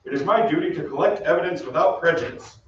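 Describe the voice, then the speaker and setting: A stern radio-play police inspector, played by a man, formal and authoritative. The voice sits a little high and sounds distant in a very echoey room. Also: quick pace, natural voice. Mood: stern, official. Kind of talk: old-time radio drama acting. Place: theatre stage. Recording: echoey stage from far off, dull, noisy.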